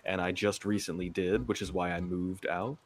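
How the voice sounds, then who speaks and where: A man's voice: low voice, fairly even intonation, normal speed. A young man chatting casually as a stream guest, telling personal stories in a candid, hesitant, self-deprecating way. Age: young adult. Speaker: ASMR creator and stream guest. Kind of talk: casual chat, personal anecdotes. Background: background music.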